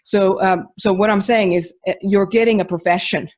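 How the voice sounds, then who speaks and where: A woman from Finland talks through her training course in a casual podcast chat. She is emphatic and lively, with frequent fillers and false starts as she builds her point. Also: mid-range voice, moderate pace. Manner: chatty, emphatic, many restarts and fillers. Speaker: mentor running a training course.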